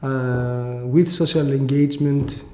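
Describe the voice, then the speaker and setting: A man's voice: medium pitch, fairly even intonation, slow pace, a little mellow. A man who is part of an island cooperative, rambling in a documentary interview. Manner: rambling, with many fillers. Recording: echoey room.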